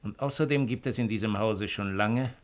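An actor playing a cold, calculating Roman imperial agent in a radio drama, speaking in a measured, insinuating way. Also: male voice, on the low side, lightly acted. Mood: cool, self-assured. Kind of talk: 1950s German radio drama acting.

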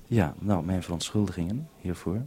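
A man presenting over a two-way radio link, talking in a measured, procedural way. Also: sits low, mellow, slight background noise.

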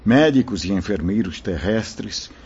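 Casting: fatherly spirit guide, very husky low voice, solemn and reassuring, lo-fi radio drama. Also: lively intonation, a little mellow, full character voice. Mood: calm narrating. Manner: measured, earnest, formal preaching delivery. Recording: dull lo-fi radio drama recording.

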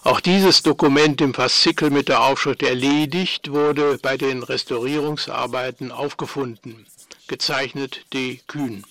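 An actor in a radio play as an archivist, announcing documents in a matter-of-fact, official narration. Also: male voice, medium pitch, steady tone, measured pace, fairly bright tone, lightly acted. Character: dry archivist announcing documents from old files.

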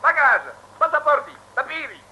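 Shrill officious Italian customs man. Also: sing-song, moderate pace, pushed and bright, a little hiss or hum, exaggerated voice, rough recording. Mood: bossy, agitated. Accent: put-on Italian. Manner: repetitive, bossy, exclaiming. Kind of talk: comic radio play acting.

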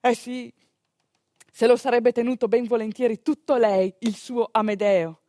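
A woman actress telling a story as a theatrical monologue, expressive, colloquial and confiding, with a northeastern Italian accent. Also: on the high side, normal speed, no room sound, clean studio sound.

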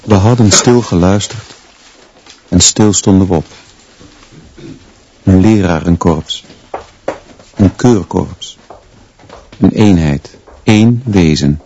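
A serious teacher telling the story, really deep mellow voice, short clipped sentences, radio drama. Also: male voice, takes it slowly, pauses often, lo-fi sound, a little hiss or hum. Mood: sober, grave. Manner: terse, short declarative phrases. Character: sober male teacher recounting events as narrator. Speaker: narrator. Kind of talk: scripted first-person radio drama narration.

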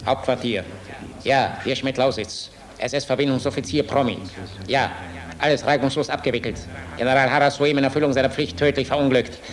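An actor playing a brisk, bureaucratic SS liaison officer in a German radio drama, making a clipped official telephone report. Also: male voice, mid-range voice, steady tone, natural voice. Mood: brisk, officious.

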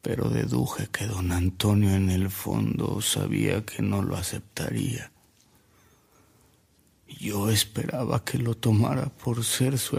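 An actor playing a frail, remorseful old man who narrates his past in a radio drama, slowly and reflectively, with a husky, gravelly voice. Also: on the low side, darkish tone, heavily acted. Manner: slow, reflective, with coughs and pauses.

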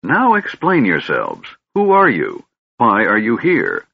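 A man's voice: fairly low pitch, huge pitch swings, slow, gravelly, husky, no echo, voice pushed up, heavily acted. A man voicing a stern, suspicious noble knight who speaks in a clipped, guarded way in an audiobook. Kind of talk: audiobook character voice. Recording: dull, slightly lo-fi recording.

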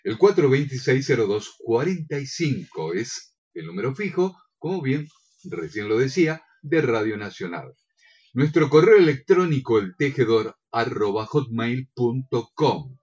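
A man's voice: medium pitch, very animated, a little slow, darkish tone, breathy edge, muffled recording. An Argentine man co-hosting a radio show, chatting and making announcements in a courteous, agreeable announcer's manner. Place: radio studio.

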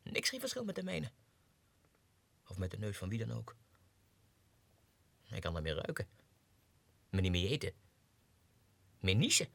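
An actor playing a deluded, self-important low-ranking Russian clerk going mad, in a theatrical radio drama monologue that swings between muttering and agitated outbursts. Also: male voice, wildly swooping pitch, a little slow, creaky, long pauses, a bit bright, heavily acted. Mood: scornful, reasoning. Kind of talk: 1960s radio drama monologue, diary entries. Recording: dry 1960s radio studio recording.

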